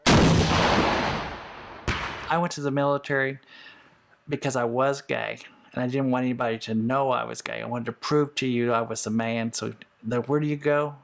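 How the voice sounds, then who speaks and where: Frank gravelly-voiced man. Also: medium pitch, fairly even intonation, normal speed, long pauses. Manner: frank, rambling. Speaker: interviewee, former Marine. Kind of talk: personal interview.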